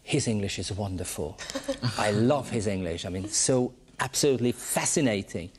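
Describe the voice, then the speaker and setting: A man's voice: lowish voice, animated, a little mellow. A man hosting a language-learning TV show in an upbeat, encouraging teacher manner. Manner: upbeat, encouraging, quick to praise. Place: TV studio with students.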